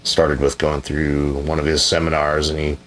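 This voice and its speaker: A man's voice: a bass voice, monotone, moderate pace, a bit bright. A man answering viewer questions in a casual, rambling chat, laid-back and frequently hedging, now and then blunt and profane.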